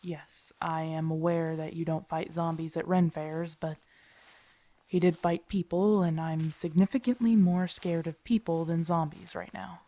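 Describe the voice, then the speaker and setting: A woman's voice: low voice, fairly even intonation, measured pace, mellow. A woman acting in an audio roleplay as a grumpy, protective zombie apocalypse survivor, blunt and sardonic, talking intimately to her companion. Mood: reassuring, sober.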